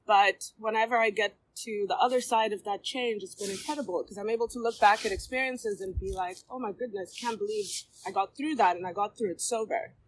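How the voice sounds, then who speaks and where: Woman, 30s, heartfelt recovery share to a group in a room, halting and candid. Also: mid-range voice, a little hiss or hum, poor audio. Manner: candid, halting, with many ums and restarts. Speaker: recovery meeting speaker. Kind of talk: personal share at a recovery meeting. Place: meeting room before a seated circle.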